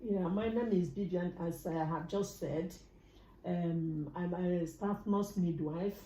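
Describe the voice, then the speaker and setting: A woman in a small coaching group who answers readily and agrees often. Her voice sits a little low, and the room is echoey. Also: slow, mellow, slight background noise. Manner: agreeable, answers eagerly with short yeses. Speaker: participant, nurse midwife. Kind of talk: casual chat.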